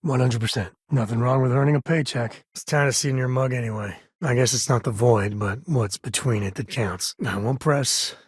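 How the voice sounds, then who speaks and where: A man voicing a rugged, good-hearted space explorer and father in a video game, with a husky rasp and a folksy, earnest way of talking. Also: medium pitch, moderate pace, few pauses, no echo, clean studio sound, close to an everyday voice. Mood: sincere. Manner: folksy, colloquial, earnest delivery.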